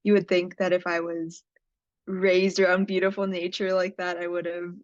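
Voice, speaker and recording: A woman's voice: on the low side, little pitch movement, normal speed. A young woman from Hawaii telling personal stories conversationally as a podcast guest, on a slightly dull recording. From Hawaii, United States. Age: young adult. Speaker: podcast guest, climate impact producer. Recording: roomy, slightly dull lo-fi sound.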